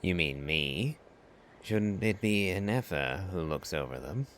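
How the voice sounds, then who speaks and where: An actor playing a calm, gentle, reserved traveler hero in a video game, speaking softly and with consideration. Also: male voice, low-pitched, unhurried, a little hiss or hum. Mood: questioning.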